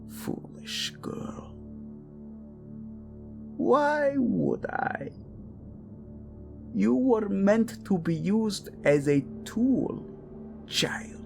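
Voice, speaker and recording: A man playing a cruel, scornful, power-hungry older royal father in an audio drama, with a gravelly, sneering, theatrical voice. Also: high voice, expressive, soft background music, heavily acted. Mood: gloating contempt. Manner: slow, sneering, theatrical swooping delivery. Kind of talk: audio drama villain acting.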